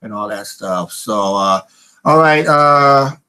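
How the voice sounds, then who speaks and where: Middle-aged male host, bright, rambling livestream chatter full of fillers. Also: lowish voice, lively intonation, takes it slowly, smooth. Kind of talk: casual livestream chat.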